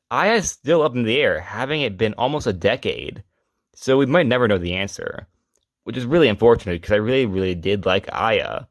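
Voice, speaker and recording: Low-voiced man narrating a retrospective. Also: dry recording. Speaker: host of a character retrospective video series. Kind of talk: informal scripted retrospective narration.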